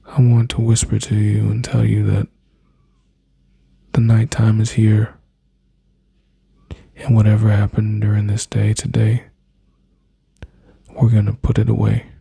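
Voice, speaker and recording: A man speaking softly and slowly in an intimate, soothing boyfriend-style ASMR roleplay, his low breathy voice often dropping into whispers close to the mic. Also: completely flat delivery, no echo. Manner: soft, slow, soothing, intimate address to listener.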